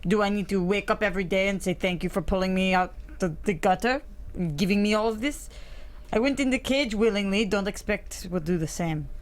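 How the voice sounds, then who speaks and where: An actress playing a proud, combative immigrant wife, sarcastic and confrontational, at a script table read. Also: female voice, medium pitch, brisk, lightly acted. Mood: bitter, defiant.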